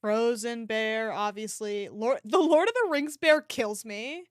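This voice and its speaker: A woman's voice: a little high, unhurried, dry recording, clean studio sound. A woman livestreamer chatting casually and reacting to an online shop with playful, mock-dramatic exclamations.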